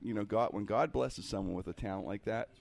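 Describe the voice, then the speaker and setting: A man's voice: on the low side, fairly quick, vocal fry, a little mellow. A man, a comedian hosting a casual radio interview in a jokey, effusive way and repeating phrases for emphasis.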